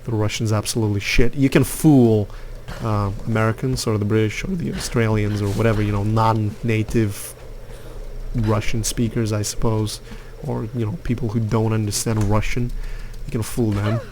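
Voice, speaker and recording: Opinionated man, low voice. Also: level tone, moderate pace, a little mellow, a little hiss or hum. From Lithuania. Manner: rambling, opinionated, many fillers. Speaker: gaming youtuber. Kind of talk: casual gameplay commentary.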